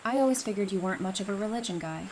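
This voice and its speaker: Woman acting as a reassuring doctor lady in an audio drama, teases a bit, medium pitch, hissy recording. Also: racing, close to an everyday voice. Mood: teasing. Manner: informal, gently teasing, encouraging. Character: supportive, teasing woman doctor, calm and reassuring.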